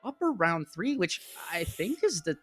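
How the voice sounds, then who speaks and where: The voice is an esports caster calling a live match in a chatty, self-deprecating way. It sits in a middle pitch, with music clearly playing behind it on the broadcast. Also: very animated, normal speed. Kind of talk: live esports match commentary with casual asides.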